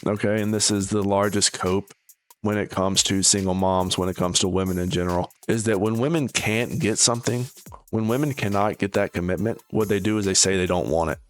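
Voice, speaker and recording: A man's voice: fairly low pitch, steady tone, a little fast. A man giving casual, opinionated reaction commentary as a video host, in a sardonic, dismissive manner. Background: background music.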